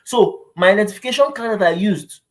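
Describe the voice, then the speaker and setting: A man's voice: high voice, animated, fairly quick, fairly bright tone. A man with a Nigerian accent giving informal explanatory advice like a vlogger, conversational and emphatic, often repeating phrases and checking that the listener follows.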